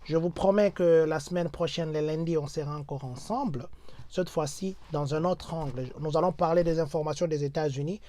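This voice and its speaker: A man's voice: on the high side, moderate pace, mellow. Congolese French man exhorting his viewers. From Democratic Republic of the Congo. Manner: repetitive rhetorical, mixes in Lingala. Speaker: host of a diaspora channel.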